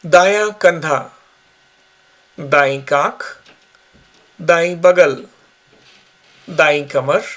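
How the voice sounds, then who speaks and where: A man giving guided yoga nidra meditation instructions in measured short phrases with pauses. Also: on the high side, a bit bright.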